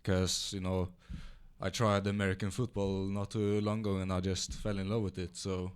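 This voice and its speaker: Earnest Norwegian teen player. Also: male voice, sits low, level tone, normal speed, clear, resonant tone. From Norway. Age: teens. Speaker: youth football player. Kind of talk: interview answers.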